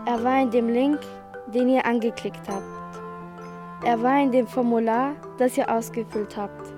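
A woman's voice: fairly high pitch, fairly even intonation, measured pace, lightly acted. A woman playing a smug, gloating famous author who declaims in repeated phrases in a radio play. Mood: smug, insistent. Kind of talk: school radio play acting. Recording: music bed behind the voice.

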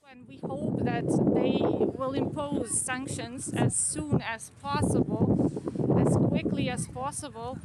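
Woman, high voice, slow and hesitant, street interview with loud city noise. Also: fairly even intonation. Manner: hesitant, repeats words. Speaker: interviewed protester. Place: outdoors in a city. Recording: noisy outdoor urban street.

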